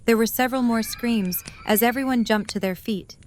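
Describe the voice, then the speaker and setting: A woman's voice: fairly high pitch, moderate pace, a little mellow, slight background noise. A woman reading scripted story narration as the narrator of an audio drama.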